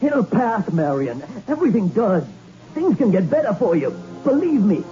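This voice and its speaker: A man's voice: high-pitched, sing-song, moderate pace, mellow, soft background music. An actor playing an earnest man who pleads with a murderer, in 1960s radio drama style on an old muffled recording.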